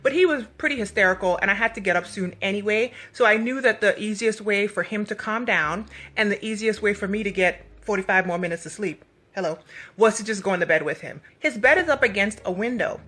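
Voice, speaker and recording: Bright-voiced, emphatic woman telling stories. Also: mid-range voice, brisk. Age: adult parent of a young child. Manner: emphatic, acts out dialogue, rhetorical questions. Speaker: vlogger giving a motivational faith talk. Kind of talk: animated personal storytelling with faith encouragement. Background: background music.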